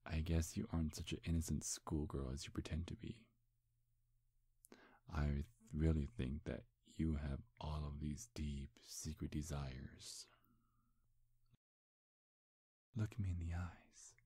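A man playing a smug, seductive demon in an intimate ASMR roleplay, breathy and husky, teasing in a hushed, hypnotic way. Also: a bass voice, steady tone, a little slow, dry recording, studio quality, full character voice. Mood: hypnotic, coaxing. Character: seductive, smug male demon posing as a handsome gentleman.

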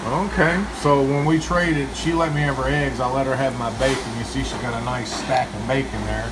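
A man's voice: medium pitch, steady tone, moderate pace, very noisy. A man, chatting casually as he reviews diner food while eating. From Kentucky, US. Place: small diner, indoors. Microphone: phone camera across the table.

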